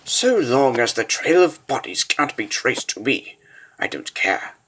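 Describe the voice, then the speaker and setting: A man's voice: medium pitch, sing-song, unhurried, sharp tone, slight background noise. A man playing an imperious, suspicious king in a fantasy comic dub, formal and commanding in his orders. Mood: cold, commanding. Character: imperious, suspicious male king ruling a war-torn realm.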